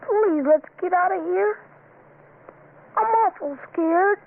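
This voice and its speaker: A woman's voice: extremely high pitch, slow, full character voice. A woman playing a frightened, pleading little boy in an old-time radio drama. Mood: scared, pleading. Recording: muffled, hissy vintage radio recording.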